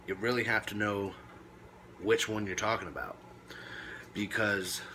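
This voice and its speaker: A man presenting reptile care tips in a casual, laid-back way with short emphatic phrases, now and then coughing or clearing his throat. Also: on the low side, pushed and bright, a little hiss or hum. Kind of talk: casual informal talk to camera.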